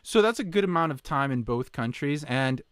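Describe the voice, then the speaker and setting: A man with a medium-pitched voice hosts a podcast interview. He talks casually and conversationally as he puts questions to his guest. The sound is dry, with no room echo. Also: animated, moderate pace, a bit bright, smooth.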